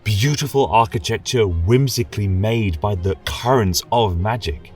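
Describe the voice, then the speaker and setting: Man acting a deep-voiced, genial fantasy history lecturer. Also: normal speed, soft background music, natural voice. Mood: encouraging. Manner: flowery, gently coaxing, chuckling scholar. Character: genial, rambling male magic-college history lecturer. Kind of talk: in-character fantasy lecture, scripted audio drama.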